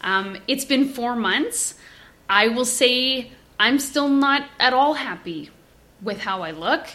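A woman's voice: fairly high pitch, animated, takes it slowly, fairly bright tone. A woman guest speaking frankly and casually, swearing and laughing as she talks. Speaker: guest, performer. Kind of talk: casual interview.

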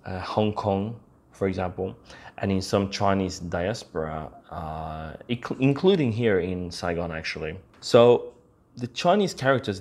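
A man's voice: low voice, a little mellow. A man hosting a podcast alone, explaining things in an informal, conversational way with frequent check-ins to the listener. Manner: informal, conversational, peppers talk with "you know" and "right?". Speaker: podcast host. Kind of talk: casual explainer podcast monologue.

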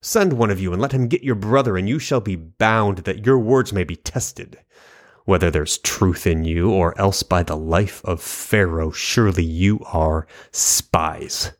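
A man reading the lines of a stern, powerful ruler who tests strangers, in a measured, commanding way. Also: low voice.

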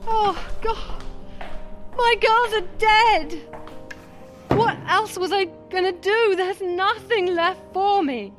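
A high-pitched actress playing a woman doctor in a radio play, a grieving skeptic who argues and guards herself. Music plays behind her voice. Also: takes it slowly, a bit bright, heavily acted. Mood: panicked. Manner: defensive, argumentative. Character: grieving skeptical female doctor, former army chaplain, defensive. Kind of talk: radio drama acting.

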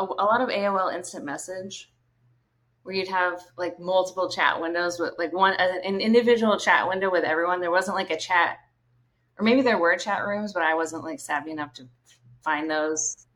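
A woman's voice: on the low side, normal speed, a bit bright. A woman in her thirties from Maine, a guest in a casual podcast interview, talking easily with lots of "like" fillers. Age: 30s. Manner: easygoing, many fillers like "like".

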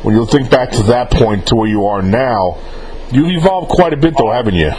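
A man's voice: mid-range voice, animated, fairly quick, background noise. A man hosting a casual interview, informal and rambling, often rephrasing his questions as he goes. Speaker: interviewer relaying fan questions.

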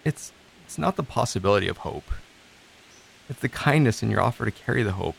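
A man's voice: lowish voice, expressive, brisk, creaky, slight background noise, rough recording. An actor playing a despairing but earnest man in therapy, speaking hesitantly and vulnerably in a scripted audio drama. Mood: pained, earnest. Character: despairing, self-doubting, earnest male therapy client. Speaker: actor in a fiction podcast.

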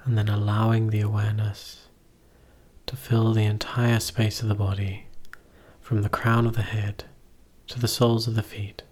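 A man leading a guided meditation as a teacher, giving gentle, measured instructions in short phrases with pauses between them. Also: lowish voice, little pitch movement, husky.

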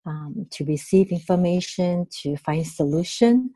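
Mellow-voiced woman. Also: on the low side, expressive, unhurried. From Taiwan. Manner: thoughtful, with many fillers and "right?" tags. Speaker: guest, therapist in training. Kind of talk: podcast interview.

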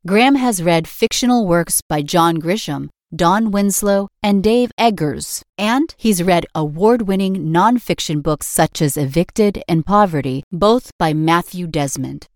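A woman's voice: fairly low pitch, animated, a little slow, few pauses, no echo, clean studio sound. A woman reading scripted narration as the narrator of a podcast.

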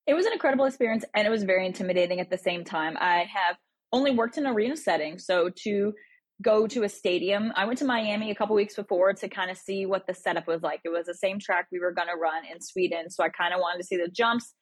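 A woman's voice: medium pitch, fast talker, dry recording. A woman, a rookie driver, telling her story in an interview in an earnest, eager way. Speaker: rookie monster truck driver. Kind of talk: casual interview answers.

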